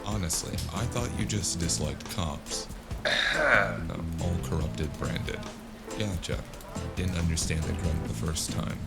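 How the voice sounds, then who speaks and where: Casting: male actor as world-weary cyberpunk noir narrator, extremely low and unhurried, wry and brooding, over loud music. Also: gravelly, heavily acted. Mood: casual, exasperated. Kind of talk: scripted first-person noir audiobook narration. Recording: constant loud music underneath.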